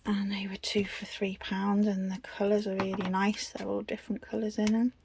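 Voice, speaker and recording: Even-toned British woman, chatty crafter. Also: medium pitch, moderate pace, vocal fry, noisy background. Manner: chatty, self-deprecating. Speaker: craft video host. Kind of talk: casual unscripted craft tutorial.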